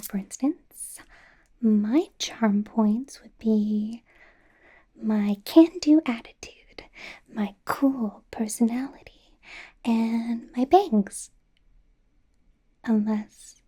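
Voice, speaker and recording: A woman playing a bubbly young female pop idol acting as a personal stylist in a softly whispered, informal, rambling ASMR roleplay. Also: a little high, extremely slow, long pauses, full character voice. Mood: eager explaining. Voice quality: soft, breathy whisper. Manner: hesitant, rambling, informal, earnest.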